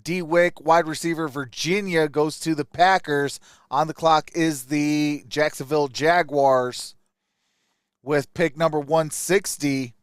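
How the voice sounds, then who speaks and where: Guy streaming draft commentary, kinda high smooth voice, real dry close sound, chill and informal. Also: fairly even intonation, slow, no room sound. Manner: informal, addresses viewers as y'all. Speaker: live stream sports host.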